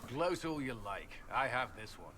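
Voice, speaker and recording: An actor playing an earnest, reserved military commander in a video game, gently wry and sincere. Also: male voice, mid-range voice, very animated, moderate pace, lightly acted. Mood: wry, competitive. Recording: noisy game audio with ambience.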